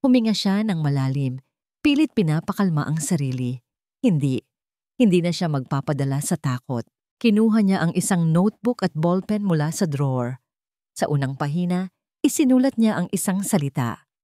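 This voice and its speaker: A woman's voice: deep voice, big pitch swings, normal speed, warm tone, no echo, studio quality. A woman with a Filipino accent reading a scripted dramatic story as its narrator.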